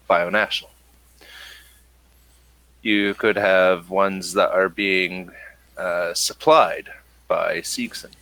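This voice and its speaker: A man narrating as game master, explaining the game's world in a halting, improvised way. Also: deep voice, bright, forceful tone, no room sound, poor audio. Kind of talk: improvised narration and lore exposition for a tabletop game.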